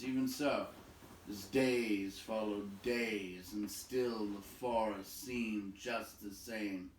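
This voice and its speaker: A man reading a fantasy story aloud in a dramatic, measured style, with suspenseful emphasis and pauses. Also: lowish voice, slight background noise. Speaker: storybook reader. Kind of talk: dramatic read-aloud narration of a fantasy novel. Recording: roomy echo, distant microphone.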